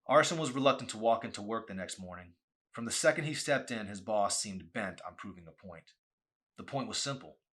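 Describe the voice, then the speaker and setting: Guy reading a novel out loud, really deep but sharp voice, dramatic and intense. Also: lively intonation, a little fast. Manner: intense, theatrical delivery. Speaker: author reading own novel aloud. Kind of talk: dramatic audiobook narration.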